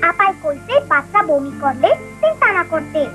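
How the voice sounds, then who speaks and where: Very high-pitched cartoon child. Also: female voice, very animated, normal speed, loud music behind the voice. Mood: earnest, caring. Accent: Bangladeshi. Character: earnest, cheerful village child in a children's cartoon.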